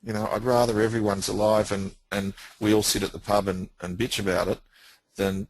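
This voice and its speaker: Deep gravelly plain-spoken man. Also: little pitch movement, brisk, dry recording, rough recording. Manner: plain-spoken, emphatic, repeats himself. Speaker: guest business owner. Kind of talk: casual recounting.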